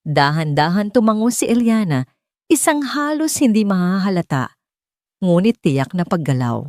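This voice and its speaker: Female story narrator, lower register, dramatic scripted delivery, dry close sound. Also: sing-song, normal speed, darkish tone, no echo.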